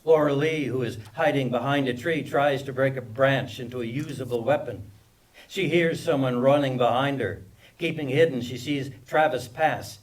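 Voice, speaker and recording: A man's voice: mid-range voice, normal speed. A man narrates screenplay action at a live reading, picked up from a little distance. His voice is mid-range, and he delivers the scene headings and directions as scripted narration. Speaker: stage-direction reader. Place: live table read at a film festival.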